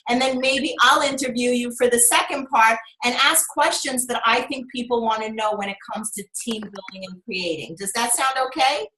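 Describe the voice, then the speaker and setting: A woman's voice: fairly high pitch, normal speed, few pauses, bright, forceful tone, roomy sound, slight background noise, poor audio. Earnest woman hosting a conference call. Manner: earnest, effusive introducer. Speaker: call host. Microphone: phone or computer on a conference call.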